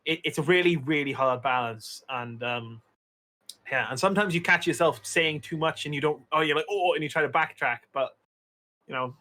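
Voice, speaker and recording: Bright-voiced Welsh man rambling. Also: a little high, moderate pace. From Wales. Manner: rambling, many fillers and restarts. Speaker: streamer. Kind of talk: casual podcast chat.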